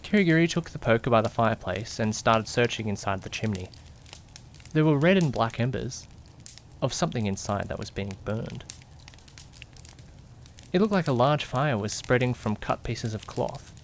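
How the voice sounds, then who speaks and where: A man's voice: medium pitch, animated, moderate pace, pauses often, a little hiss or hum, natural voice. A man playing a curious, jittery young detective's companion who narrates a mystery audio drama with suspense and questioning asides. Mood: puzzled, uneasy. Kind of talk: amateur audio drama narration in first person.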